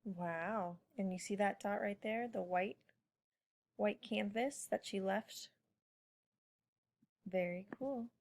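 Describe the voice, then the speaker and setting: A woman's voice: medium pitch, takes it slowly, long pauses. A woman teacher talking informally to children, prompting them with questions during a read-aloud lesson. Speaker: elementary school teacher.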